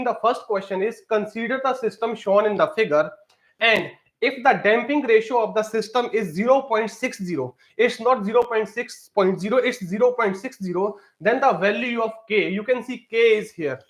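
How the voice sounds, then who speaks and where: A man teaching a live-streamed exam prep lesson, leaning on fillers and repeatedly asking viewers to comment. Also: high voice.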